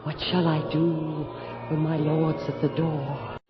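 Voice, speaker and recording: A bloodthirsty brooding countess, super slow theatrical delivery, old radio horror, echoey. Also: female voice, low voice, little pitch movement. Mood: brooding, eerie. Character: obsessed, bloodthirsty noblewoman pining for her dead lord. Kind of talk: 1980s radio horror drama acting. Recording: echoey, dull radio recording with music.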